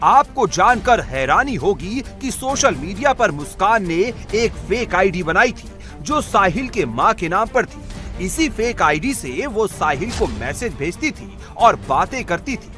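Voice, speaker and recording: A man delivers dramatic crime news narration in a high-pitched, forceful voice. His delivery is sensational and emphatic, with music playing underneath. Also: very animated, unhurried. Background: background music.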